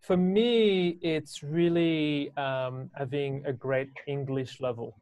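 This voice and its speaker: A man in his thirties with a French accent, explaining things casually and haltingly as an interview guest on a video call. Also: a little high, fairly even intonation, a little mellow, clear, resonant tone, no echo. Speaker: guest, business owner and educator. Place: small indoor room, video call.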